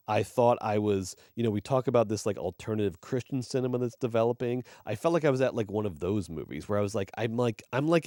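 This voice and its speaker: A man co-hosting a movie podcast, talking through a film in loose, rambling chat. His voice sits fairly low and comes across dry and close, with no room sound. He swears freely and pushes his emphasis up as he gets going. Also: dark tone, dry recording. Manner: rambling and profane. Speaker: podcast co-host. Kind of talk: casual movie review chat.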